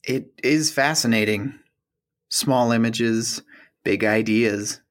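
A man's voice: medium pitch, takes it slowly, lightly acted. An actor playing an awkward, reticent man in an audio romantic comedy, hesitant and politely flustered. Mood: thoughtful. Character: awkward, reticent male map colleague named Simon.

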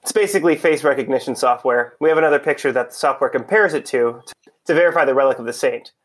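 A man's voice: medium pitch, fast, a bit bright, close to an everyday voice. An actor in a mystery audio play, playing an impatient, blunt undercover policeman who poses as a buyer. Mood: businesslike. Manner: blunt, exasperated, demanding. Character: impatient, foul-mouthed male undercover cop posing as buyer.

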